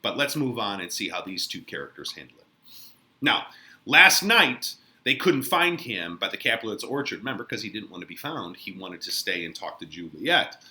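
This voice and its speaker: A man giving informal, colloquial commentary as a literature teacher, in an expressive conversational manner. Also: lowish voice, normal speed.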